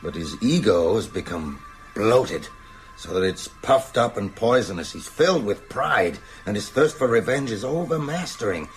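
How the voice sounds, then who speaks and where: Commanding bedridden vampire hunter, swooping intonation. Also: male voice, on the low side, big pitch swings, moderate pace, faint music behind. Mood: grave, brooding. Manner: emphatic, rhetorical, oratorical delivery. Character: bedridden male vampire hunter, shrewd, commanding, urgent strategist. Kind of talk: radio drama acting.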